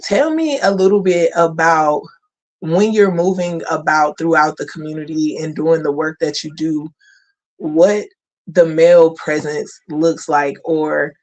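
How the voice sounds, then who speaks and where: A man's voice: fairly high pitch, poor audio. A man hosting a community radio talk show, chatting in a conversational, affirming way. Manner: conversational, affirming, lots of "you know". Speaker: host.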